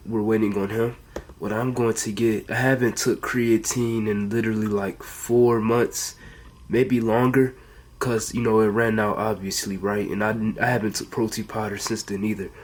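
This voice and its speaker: A man's voice: fairly low pitch, level tone, normal speed. A teenage male with an American accent chatting casually to camera in an earnest, rambling and repetitive way. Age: teenager, under 18. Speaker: fitness vlogger. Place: bedroom at home, indoors.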